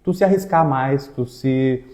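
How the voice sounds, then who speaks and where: A man's voice: medium pitch, measured pace, warm tone. A man with a southern Brazilian accent in casual conversation, telling personal stories with slang and frequent tag questions. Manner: colloquial, uses slang and frequent tags. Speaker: exchange agency staff member co-hosting a talk.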